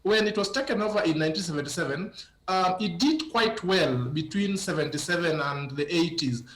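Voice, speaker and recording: A man with a cold, speaking as a business analyst in a live news interview, halting and repeating words often over a remote link. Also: fairly high pitch, lively intonation, a bit bright, roomy sound, low-quality recording. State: has a cold.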